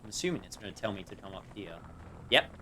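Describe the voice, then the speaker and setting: A man's voice: lowish voice, lively intonation, fast, sharp tone, a little hiss or hum. A man doing casual let's play commentary, thinking aloud and reacting wryly.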